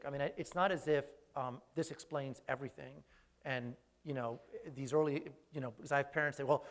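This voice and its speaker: A man who grew up speaking Greek first, a researcher answering audience questions after a talk in a thoughtful, hesitant way with frequent restarts. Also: mid-range voice. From raised speaking Greek first. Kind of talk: live Q&A after a lecture.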